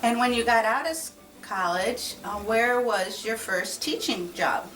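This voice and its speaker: A woman's voice: fairly high pitch, a little slow, a bit bright, far from the mic. A woman interviewing an older man, asking friendly, plain questions about his life. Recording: echoey, from off-mic, faint music.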